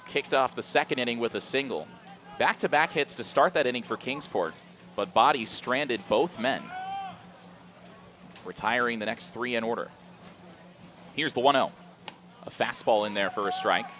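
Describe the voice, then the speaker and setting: A man's voice: medium pitch, bright, forceful tone. A man doing live baseball play-by-play for a radio broadcast from an outdoor ballpark. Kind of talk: live sports commentary.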